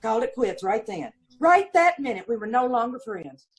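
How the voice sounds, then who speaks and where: A woman's voice: a little high, lively intonation, normal speed, husky. A woman playing a gossipy, aggrieved middle-aged landlady and mother of five, talking folksy and ungrammatical in old-time radio style. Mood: indignant. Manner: folksy ungrammatical talk, indignant and self-pitying. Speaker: witness in radio police drama.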